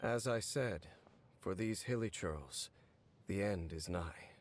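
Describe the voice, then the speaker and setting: A man voicing a solemn, world-weary knight in a video game, speaking in a measured, brooding way. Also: low voice. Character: grave, world-weary male knight, former royal guard commander. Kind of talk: video game character acting.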